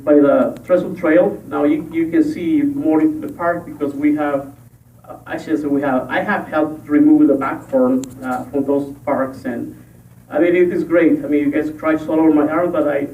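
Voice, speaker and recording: A man from Ecuador, a school board candidate, giving a halting forum speech with many pauses and fillers. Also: on the high side, fairly even intonation, slight background noise. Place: library meeting room. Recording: echoey room, tinny and distant.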